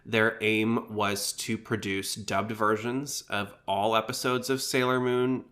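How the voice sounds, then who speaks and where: A man's voice: lowish voice, sharp tone. A man hosting a podcast, talking informally and explaining things in a casual style. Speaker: podcast host.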